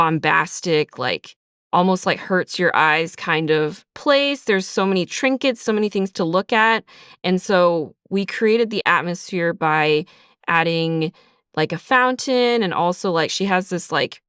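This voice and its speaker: Chatty woman with a clear, lowish voice. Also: a little slow, a bit bright, dry recording, studio quality. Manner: chatty, informal, frequent "like" and "sort of". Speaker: audio drama writer being interviewed. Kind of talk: casual behind-the-scenes interview.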